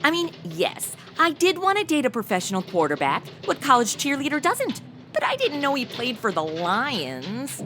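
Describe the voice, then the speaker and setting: Actress as a wildly animated, ditzy young woman. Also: sits high, moderate pace, music in the background. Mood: breezy. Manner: slangy, breezy, gushing. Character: ditzy, flippant modern young woman, ex-cheerleader. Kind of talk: comedy audio drama acting.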